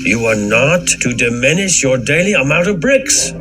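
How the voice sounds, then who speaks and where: A man's voice: mid-range voice, big pitch swings, normal speed, close to an everyday voice. A man playing a harsh, bullying Egyptian slave driver who gives demanding orders in an audio drama. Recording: music under the voice.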